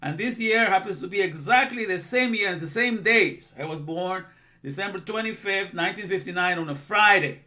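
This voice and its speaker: A man's voice: high voice, moderate pace, bright, forceful tone. A man in his sixties, from Guatemala, giving an informal religious lesson in a rambling way with many asides and repeated phrases. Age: 60s. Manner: rambling, repeats himself, frequent "you know". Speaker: religious teacher.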